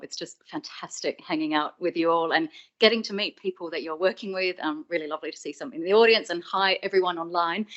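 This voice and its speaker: A woman in her forties gives a public talk in an Australian accent, a little low for a woman. She is friendly and pokes fun at herself. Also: highs cut off. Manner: friendly, self-deprecating. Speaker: keynote speaker. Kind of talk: public lecture.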